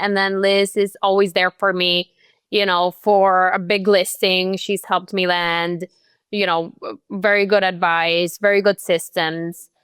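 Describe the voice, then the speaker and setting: A young woman from Colombia talks through her career as an interview guest, her voice smooth and clear. She chats easily, filling her talk with "like" and "you know". The sound is close and dry. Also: medium pitch, fairly bright tone, no room sound. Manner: chatty, many "like" and "you know" fillers. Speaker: guest, real estate agent. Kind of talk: casual interview chat.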